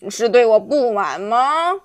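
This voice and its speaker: A woman voicing a pompous, short-legged Lemon King who speaks in a booming, menacing way. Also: expressive, measured pace, no room sound.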